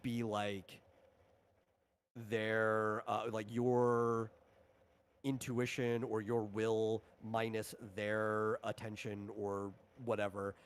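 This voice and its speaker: A man's voice: lowish voice, level tone, drawn-out words, clear, resonant tone. Man casually explaining game rules.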